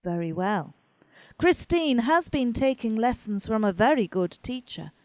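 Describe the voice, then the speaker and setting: A woman playing a composed, kindly, authoritative older madame in an audio drama, with formal, measured phrasing. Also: fairly high pitch, animated, a little mellow, no room sound. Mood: brisk, resolved.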